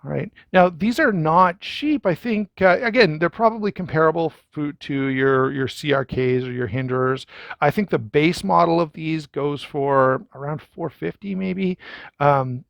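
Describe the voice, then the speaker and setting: A man talks through a knife review in a loose, conversational way, often restarting and filling pauses. His voice sits a little high for a man. The recording is dry, with no room echo. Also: vocal fry, lo-fi sound. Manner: conversational, many fillers and restarts; addresses viewers directly. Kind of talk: casual first-impression review.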